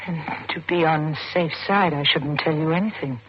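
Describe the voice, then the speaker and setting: A woman's voice: sits low, normal speed, close to an everyday voice. An actress playing a bewildered, fearful woman accused of murder, hesitant and guarded, in an old-time radio suspense drama. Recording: muffled, noisy old radio recording.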